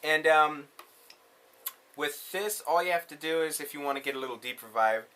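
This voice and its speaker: A man's voice: fairly high pitch, moderate pace, bright, forceful tone, a little hiss or hum. A man hosting a casual hands-on tutorial, talking in an informal, slangy way. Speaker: tutorial host.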